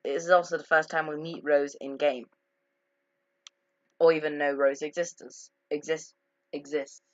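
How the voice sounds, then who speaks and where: A young-sounding gamer giving casual commentary on a game's story in a halting way, often repeating and correcting words, on a lo-fi microphone. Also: medium pitch, little pitch movement, no echo. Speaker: gaming video narrator. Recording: dull lo-fi microphone.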